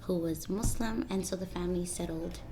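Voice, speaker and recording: Brief: woman guest host, warm approving chat, light background hiss. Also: lowish voice, normal speed. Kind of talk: casual podcast chat.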